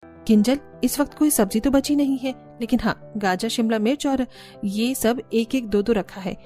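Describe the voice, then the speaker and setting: A gentle, sensible young housewife from a humble family, played by a woman in a cartoon story. She speaks politely and softly, explaining things patiently over faint music. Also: fairly high pitch, moderate pace, close to an everyday voice. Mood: calm, humble. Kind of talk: Hindi cartoon story dubbing.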